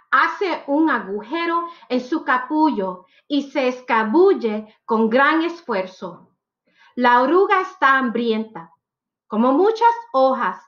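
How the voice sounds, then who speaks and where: High-pitched woman reading slowly to children. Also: a bit bright, highs cut off. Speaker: teacher reading picture books aloud. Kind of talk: slow, expressive read-aloud for children.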